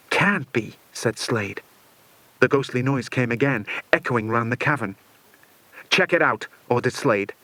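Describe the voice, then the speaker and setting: A man's voice: medium pitch, fairly bright tone. A man narrating a children's adventure story as an audiobook, in a dramatic scripted style.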